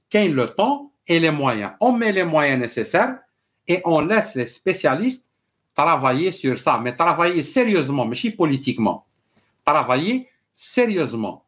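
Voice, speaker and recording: A man with an Algerian accent and native Arabic holds forth in a live political discussion. His voice sits a little high and moves up and down a lot. He explains things emphatically and deliberately, mixing two languages. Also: a bit bright. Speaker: political commentator and live show host. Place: small indoor home room.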